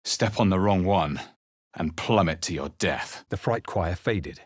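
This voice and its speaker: A man voicing a wary, brooding adventurer hero in a fantasy video game, narrating in the first person with dramatic, measured intensity. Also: deep voice, animated, husky, no echo, studio quality, full character voice. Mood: grave, brooding. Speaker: video game player character voice.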